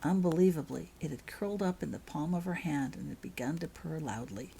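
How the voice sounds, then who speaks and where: A woman reading her own fiction aloud as an expressive storyteller. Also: low voice, brisk, gravelly, a little hiss or hum, low-quality recording. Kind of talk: audiobook-style narration of fan fiction.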